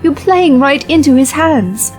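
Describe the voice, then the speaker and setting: A woman's voice: high voice, lively intonation, measured pace, a little mellow. A woman playing an earnest, betrayed rebel in an audio drama, with a pleading, intense manner. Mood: urgent, pleading. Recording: faint music behind the voice.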